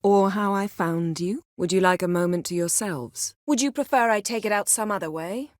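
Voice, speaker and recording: An actress playing a proud, sharp-tongued sorceress in a fantasy video game, speaking in a haughty, sardonic, clipped and articulate way. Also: female voice, mid-range voice, normal speed, no room sound, clean studio sound, close to an everyday voice. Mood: measured.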